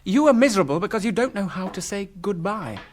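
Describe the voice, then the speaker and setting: Cheery, self-assured young male hobbit, well-spoken and brisk, very animated intonation, fantasy radio drama, slight hiss. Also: high voice. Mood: reassuring, knowing. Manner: breezy, confident, well-spoken.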